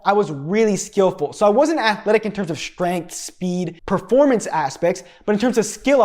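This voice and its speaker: Young man, twenties, higher voice, blunt candid storyteller, scripted vlog narration. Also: darkish tone. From United States. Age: 20s. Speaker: fitness influencer.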